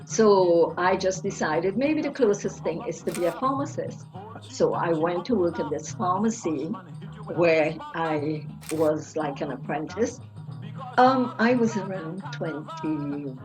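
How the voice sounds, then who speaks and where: An older woman from Trinidad speaks with a soft Trinidadian lilt, recalling family and youth at an unhurried pace. Her voice sits a little low. Music plays clearly underneath her. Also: expressive, low-quality recording. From Trinidad and Tobago. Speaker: interviewee. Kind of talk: personal life story interview.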